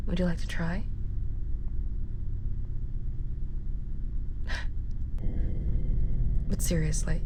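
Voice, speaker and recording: Slow, smug vampire woman, acted by a woman. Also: on the low side, background noise, lightly acted. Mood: mocking. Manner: teasing, condescending, breathy half-whispers. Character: smug, possessive, centuries-old female vampire taunting her captive. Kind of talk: ASMR roleplay acting.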